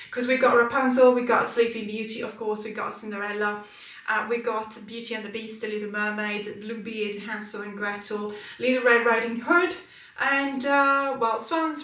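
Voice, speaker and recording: Woman chatting earnestly about tarot decks, bright voice, echoey room, sits a bit back from the mic. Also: a little high, recorded from a distance. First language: Italian. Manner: earnest, many fillers and restarts. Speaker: tarot channel host. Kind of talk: casual haul vlog.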